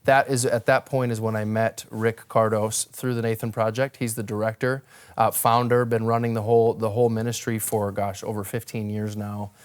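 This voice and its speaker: A man's voice: lowish voice, flat delivery, moderate pace, smooth. A young American man from Wisconsin telling his own story as an interview guest, articulate and reflective in a conversational way. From northern Wisconsin, United States. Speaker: interview guest, ministry worker.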